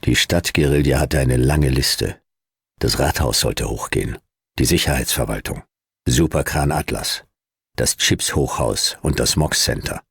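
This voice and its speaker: An actor playing a hardboiled private detective in a sci-fi radio drama, laconic and dry, with a gravelly voice. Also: male voice, rumbling low, a little slow, fairly bright tone, no room sound, heavily acted. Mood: laconic, deadpan. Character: hardboiled male private detective narrating his own sci-fi case. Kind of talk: radio drama narration and dialogue.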